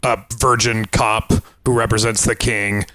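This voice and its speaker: A man's voice: lowish voice, level tone, a little slow, pushed and bright, no echo. A man co-hosting a podcast, discussing things in a loose, informal way. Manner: informal, loose, occasionally profane. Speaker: podcast co-host. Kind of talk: casual film discussion.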